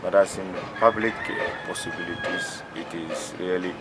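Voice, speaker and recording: Young teacher, very low voice, measured, heavy outdoor traffic noise. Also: male voice. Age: young adult. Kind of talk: interview answers. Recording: loud street and traffic noise.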